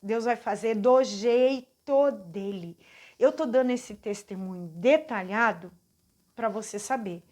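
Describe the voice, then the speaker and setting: A Brazilian woman in her forties giving an earnest, heartfelt personal faith testimony, speaking haltingly with restarts. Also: on the high side, darkish tone. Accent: Brazilian Portuguese. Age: 40s. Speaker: channel host giving a testimony.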